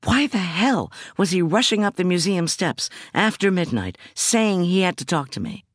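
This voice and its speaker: A woman's voice: fairly low pitch, animated, moderate pace, dry recording, studio quality, voice pushed up. A woman voicing a strong-willed, weary and defensive woman prosecutor who protests emotionally in an audiobook. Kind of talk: audiobook character dialogue.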